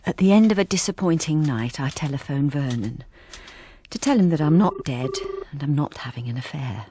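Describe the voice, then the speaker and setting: An actress playing a lonely middle-aged wife who has turned invisible, narrating a radio drama in a hesitant, confiding, understated way, at times in a breathy near-whisper. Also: female voice, deep voice, lively intonation, moderate pace, dark tone, a little hiss or hum. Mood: wry, subdued.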